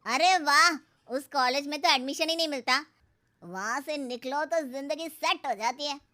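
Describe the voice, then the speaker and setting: Cartoon rich brother-in-law, played by a woman: very high, sharp voice, condescending, mocking. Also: normal speed. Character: arrogant, belittling rich young brother-in-law, a man. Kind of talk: Hindi cartoon moral-story dialogue.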